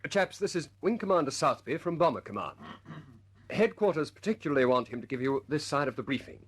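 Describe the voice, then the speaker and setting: Husky, animated RAF squadron leader. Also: male voice, fairly high pitch, fairly quick. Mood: brisk, jovial. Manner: hesitant stammers when pressing a point, otherwise commanding. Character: earnest, brisk male RAF squadron leader, good-humoured. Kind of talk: vintage radio drama acting.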